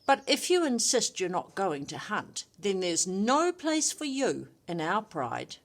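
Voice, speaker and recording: A woman voicing a stern but loving mother lioness in a children's story, firm and deliberate. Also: medium pitch, very animated.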